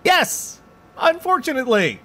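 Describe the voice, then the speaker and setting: Wry, excitable researcher as acted, wisecracking, with hugely varied intonation. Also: sits high, a little slow, slight background noise, full character voice. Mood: exasperated. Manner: wisecracking, dramatic swings. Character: wry, excitable researcher among trapped survivors.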